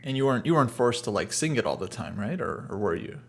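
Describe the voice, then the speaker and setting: Guy chess commentator, laid back, hedgy, bit gravelly. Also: medium pitch, fast. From United States, raised in California. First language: Russian. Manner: relaxed, hedging, lots of fillers. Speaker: chess commentator, international master. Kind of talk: casual chess commentary chat.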